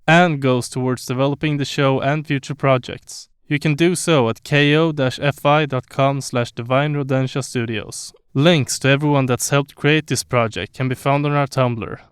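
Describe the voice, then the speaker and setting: A man's voice: medium pitch, smooth, no echo, studio quality. A man reading scripted closing credits as the announcer of an audio drama.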